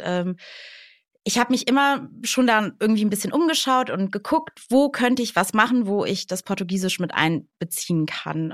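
A woman's voice: mid-range voice, a bit bright, no room sound, clean studio sound. Chatty woman in her thirties. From Germany. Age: 30s. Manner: chatty, many fillers, laughs easily. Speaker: podcast co-host. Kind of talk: casual chat.

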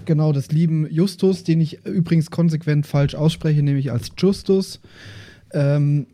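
A man casually introducing his tabletop role-playing character in a halting, informal way. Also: a little high, fairly even intonation, dark tone, slight background noise. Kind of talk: casual character introduction on an actual-play podcast.

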